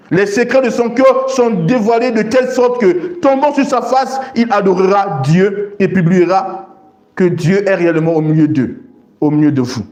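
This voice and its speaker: A man's voice: high voice, a little mellow. A man preaching a sermon, reading and explaining scripture emphatically and repeating phrases for stress. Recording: echoey room sound.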